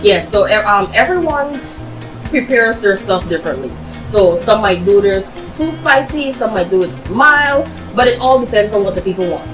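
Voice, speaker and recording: A woman's voice: medium pitch, animated, normal speed, a bit bright, faint music behind, poor audio. A woman chatting casually as an interview guest, explaining things in a talkative way peppered with "you know". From Guyana, raised in Barbados. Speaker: restaurant owner, interview guest.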